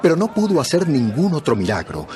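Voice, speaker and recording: A man's voice: a little high, wildly swooping pitch, a little fast, darkish tone. A man narrating a dramatized Bible story from a script.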